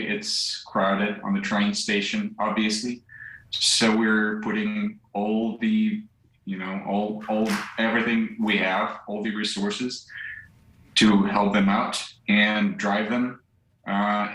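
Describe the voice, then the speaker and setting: A young man from central Ukraine with a Ukrainian accent, answering a live news interview in English in a halting way, searching for words. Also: fairly low pitch, fairly bright tone. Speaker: volunteer driver interviewed live. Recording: echoey remote link.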